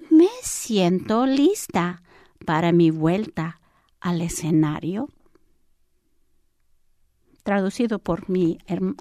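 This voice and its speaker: Woman in her sixties, poet reading aloud, unhurried, dry close sound. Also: fairly low pitch, a little mellow, dry recording. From Chicago, USA. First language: English. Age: 60s. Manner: slow, deliberate poetry reading. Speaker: poet guest.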